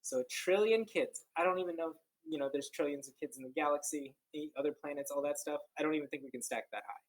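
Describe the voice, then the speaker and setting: A man reading a children's book aloud like a teacher, expressive and playful, drawing out key words and dropping in casual joking asides. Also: a little high, fast talker, rough recording. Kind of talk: children's storybook read-aloud with casual commentary.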